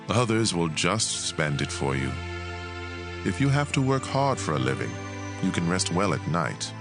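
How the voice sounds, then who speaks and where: Very deep-voiced man narrating scripture expressively.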